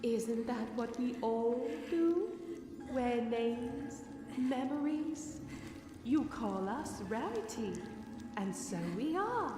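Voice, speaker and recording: A woman's voice: on the high side, expressive, slow. A woman voicing a gloating parasitic monster in an audio drama, theatrical and savoring its cruelty. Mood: sly, menacing. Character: gloating parasitic monster wearing a dead pony's body. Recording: echoing, long reverb over music.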